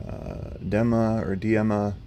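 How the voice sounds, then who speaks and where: A man with a very low, slightly rough voice hosts a live stream from home. He talks in a calm, level tone full of small fillers. A faint hum of noise sits under his voice. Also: slow pace. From not from North Carolina. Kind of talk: casual livestream commentary answering chat.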